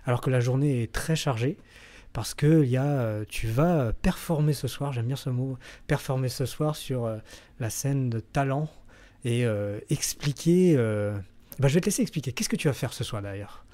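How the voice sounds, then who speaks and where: Mellow-voiced podcast host, halting speech. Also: male voice, mid-range voice. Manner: halting, many fillers and restarts. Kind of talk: casual interview questions.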